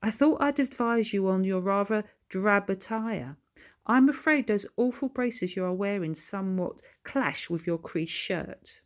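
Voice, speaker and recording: A woman's voice: medium pitch, expressive, unhurried, voice pushed up, lightly acted. A woman voicing a smug, pompous schoolboy who talks in a very formal, well-spoken way. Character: smug, pompous, newly posh schoolboy son.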